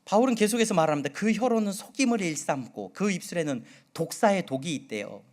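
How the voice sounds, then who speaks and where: A middle-aged male pastor from the Jeolla region preaching a sermon, with a slight Jeolla accent, asking his congregation many questions and moving between loud and soft delivery. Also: high-pitched, animated, fast talker. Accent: Seoul Korean with occasional Jeolla dialect. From Jeolla region, Korea.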